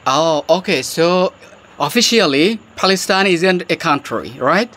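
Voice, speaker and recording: High-voiced Bangladeshi man asking questions. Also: animated, a little slow, noisy background. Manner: questioning, nonstandard English phrasing. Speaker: channel host. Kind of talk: English practice conversation.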